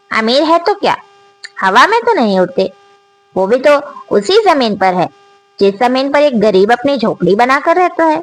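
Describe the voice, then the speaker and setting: Woman storyteller, slow and earnest, high voice that swings a lot, kinda phone-thin sound. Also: rough recording. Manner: slow, earnest, questioning, rising on rhetorical questions. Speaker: storyteller and channel host. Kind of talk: reflective moral monologue.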